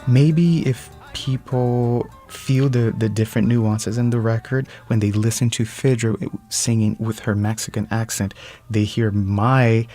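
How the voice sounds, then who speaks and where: A man's voice: on the low side, steady tone, a little slow, mellow, faint music behind. A man from Brazil with a Brazilian Portuguese accent, a band musician speaking in a thoughtful, careful way.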